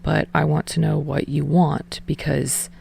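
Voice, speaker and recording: A woman voicing a reserved, gentle young man in love in an audiobook, speaking quietly and carefully. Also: low-pitched, flat delivery, measured pace, gravelly, slightly rough, voice pushed down, full character voice. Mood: tender, reassuring. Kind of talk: fan fiction audiobook character voice.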